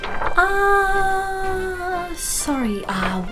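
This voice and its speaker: Woman acting an elf mage, fantasy audio drama, practical, dry, impatient, expressive intonation, music behind. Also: very high-pitched, very slow, heavily acted. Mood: defensive. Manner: dry, cautious, mildly impatient. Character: female elf mage, wary, exasperated, practical.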